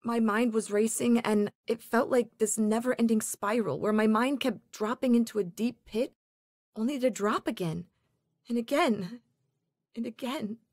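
A woman's voice: a little high, unhurried, darkish tone, no echo, studio quality. An actress playing a frightened young woman in spiritual torment in an audio drama. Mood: shaken, weary. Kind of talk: audio drama acting with first-person narration. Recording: music playing behind the voice.

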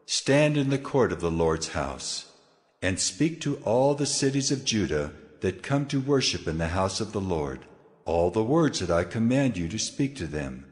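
A man as a booming, very deep divine voice. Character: God speaking, solemn, commanding male deity. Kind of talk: dramatized scripture reading. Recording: long cavernous reverb.

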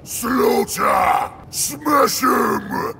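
A man voicing a brutish, bloodthirsty orc warrior for a video game, bellowing short, rough war cries, eager for battle. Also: very high-pitched, very slow, shouting, background noise, full character voice. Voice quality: gravelly, rough.

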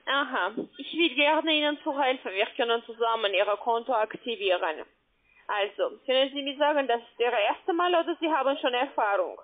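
High-voiced foreign-accented woman on phone. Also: lively intonation. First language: not German. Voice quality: halting, broken phrasing. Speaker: call centre sales agent. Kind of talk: phone sales pitch. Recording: muffled tinny phone line.

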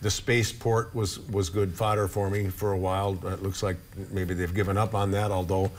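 A low-voiced man in his sixties sits as a guest in a TV studio and speaks on a lapel mic. He talks in a friendly, casual way and often stumbles over repeated words when starting a sentence. Faint background noise sits under the voice. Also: level tone, normal speed. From Upper Michigan, USA. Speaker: blogger guest. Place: TV studio with couch set.

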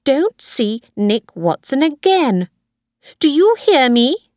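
A woman's voice: a little high, lively intonation, slow pace, no echo, clean studio sound, pitched above the performer's own voice. A woman voicing a stern elderly grandmother in a storybook, kindly but bossy, with a firm, admonishing manner. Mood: angry, commanding.

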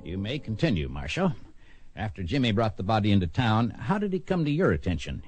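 An actor playing an orderly, patient judge in a radio western, formal yet familiar. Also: male voice, on the low side, big pitch swings, a little fast, darkish tone, no room sound, faint music behind. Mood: official. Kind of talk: radio western drama acting.

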